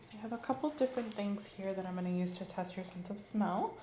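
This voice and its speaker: A woman speaking softly and slowly as a clinician in an ASMR roleplay exam, often dropping to a whisper. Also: medium pitch, echoey room, background noise. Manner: slow, gentle, instructive, often whispering. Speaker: ASMR creator playing an examining clinician. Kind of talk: soft-spoken roleplay physical exam.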